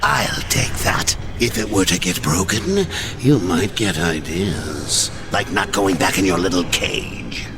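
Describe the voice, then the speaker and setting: A man's voice: deep voice, lively intonation, slow pace, music in the background, exaggerated voice. An actor voicing a mocking, sadistic male demon jailer in a video game cutscene, taunting and cackling, breaking into shrieking rage. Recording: game cutscene with loud score.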